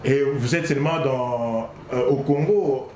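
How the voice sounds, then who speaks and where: A man's voice: mid-range voice, a little slow. Chatty male interviewer in an echoey room. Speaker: interviewer, host. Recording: echoey room, faint noise.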